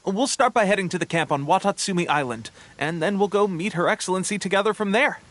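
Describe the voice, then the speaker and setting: An actor playing a friendly, earnest young resistance soldier in a video game, upbeat and helpful. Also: male voice, high voice, fast, a bit bright, dry recording. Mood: cheerful.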